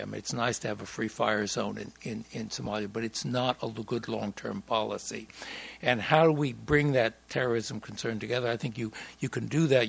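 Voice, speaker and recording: A man speaks on a discussion panel, measured and hesitant, stopping often with fillers and restarting his sentences. His voice is gravelly and husky, with a rough, breathy edge. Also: medium pitch. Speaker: panelist on foreign policy.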